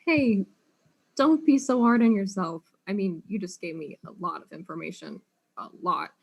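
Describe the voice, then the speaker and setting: An actress playing a wry, weary detective in an audio drama, speaking casually. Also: female voice, mid-range voice, moderate pace, gravelly, slightly rough. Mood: reassuring, wry.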